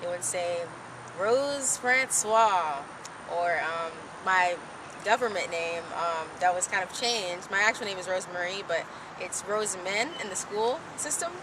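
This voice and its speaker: Young woman author in her twenties, Creole first language, friendly and halting, recorded outdoors off-mic with street noise. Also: fairly low pitch, lively intonation, distant mic. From Haiti. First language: Haitian Creole. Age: 20s. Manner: friendly, hesitant with fillers. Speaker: author, guest. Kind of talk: casual interview answers. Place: outdoors in a city.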